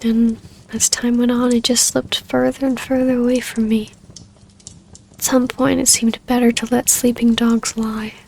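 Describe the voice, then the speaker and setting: A tender vampire baroness played by a woman speaks to a long-lost love in an intimate ASMR roleplay. Her voice is high and soft, with very even pitch and a breathy edge that often sinks toward a whisper. Her phrasing is hesitant, formal and old-fashioned, full of quiet yearning. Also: measured pace, a little hiss or hum. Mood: wistful, confessional.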